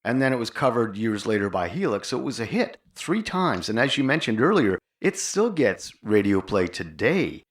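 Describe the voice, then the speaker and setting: A man's voice: medium pitch, big pitch swings, moderate pace, hardly any pauses. A man hosting a podcast and interviewing a guest conversationally.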